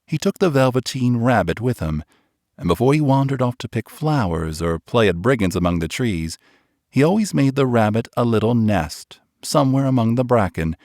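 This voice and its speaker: A man narrating a children's storybook in a gentle, expressive way, slowing on the tender lines. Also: medium pitch, no echo, clean studio sound. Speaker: audiobook narrator.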